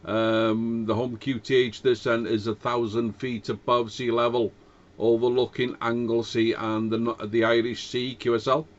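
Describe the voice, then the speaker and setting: A man's voice: mid-range voice, level tone, unhurried. A man chatting as an amateur radio operator in a hesitant, courteous way, speaking into a cheap dynamic microphone over a radio link. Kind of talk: casual ham radio contact. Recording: dull lo-fi radio link audio.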